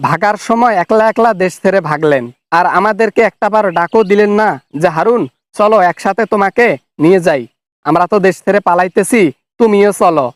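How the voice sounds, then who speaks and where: Guy doing a defiant cartoon official on the run, really high voice, accusing and threatening, dry sound. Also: brisk, clear, resonant tone, no echo, close to an everyday voice. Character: angry, defiant fugitive male official, funny cartoon caricature. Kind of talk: comic cartoon dub, phone argument.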